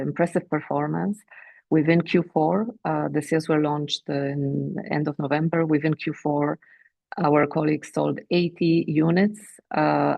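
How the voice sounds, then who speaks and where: A woman with a Romanian accent presenting company sales figures on an earnings call, measured and pausing often with fillers. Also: low-pitched, creaky, no echo, lo-fi sound. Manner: measured, with frequent filler pauses. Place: remote teleconference.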